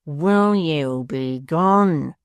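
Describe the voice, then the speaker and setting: A man's voice: on the high side, very slow, clear, resonant tone, no echo. A man voicing a spiteful, bullying male dwarf in an audiobook, sneering and menacing. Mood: threatening. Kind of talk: audiobook character voice.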